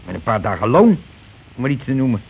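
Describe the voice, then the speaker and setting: An actor playing a scheming, bossy working-class husband who talks glibly and colloquially in a radio play, always justifying himself. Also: male voice, mid-range voice, lively intonation, fast, vocal fry, slightly rough. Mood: glib, persuasive. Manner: glib, colloquial, self-justifying. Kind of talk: Dutch radio play acting. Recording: noisy old radio play recording.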